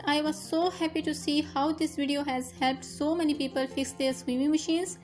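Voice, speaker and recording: A young woman whose first language is Urdu, patiently talking through a sewing machine fix step by step as host of her tutorial channel. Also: high voice. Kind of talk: instructional voice-over.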